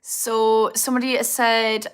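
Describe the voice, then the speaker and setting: A woman's voice: fairly high pitch, flat delivery, a little slow, smooth. Chatty Geordie woman on a livestream. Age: 30s. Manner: chatty, self-mocking, laughs often. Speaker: livestream host answering viewer comments. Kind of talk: casual live chat.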